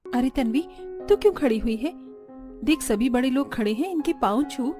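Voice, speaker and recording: A woman's voice: high-pitched, lively intonation, normal speed, warm tone, faint music behind. A woman voicing a responsible, polite young elder sister and new bride in a cartoon story. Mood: helpful, polite. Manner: polite, eager to help. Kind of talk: Hindi cartoon story acting.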